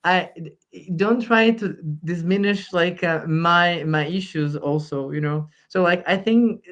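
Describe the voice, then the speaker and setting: A man's voice: high-pitched, fairly bright tone, lo-fi sound. A man from Brazil speaks English with a Brazilian Portuguese accent on a discussion panel. He keeps restarting his sentences and fills gaps with "like" and "you know". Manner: halting, many restarts and fillers.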